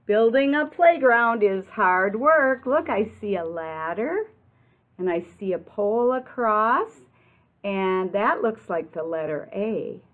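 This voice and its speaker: An American woman in her sixties reads a picture book aloud like a teacher, expressively and deliberately, adding explanations and questions for young listeners. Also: medium pitch, expressive. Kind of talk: children's read-aloud with explanatory asides. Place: classroom, indoors. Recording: muffled, lo-fi webcam-like sound.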